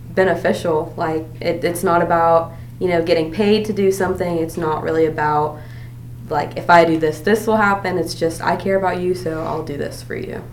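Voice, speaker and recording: A woman's voice: lowish voice, a little mellow. A teenage girl from Atlanta, a student guest talking casually with lots of fillers. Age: teens. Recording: echoey room, faint noise.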